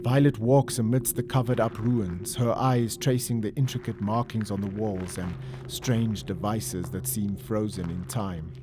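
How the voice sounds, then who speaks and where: Male narrator for radio drama: lowish pitch, solemn and measured, clear music bed underneath. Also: fairly even intonation. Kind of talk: scripted dramatic story narration. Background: music and ambience.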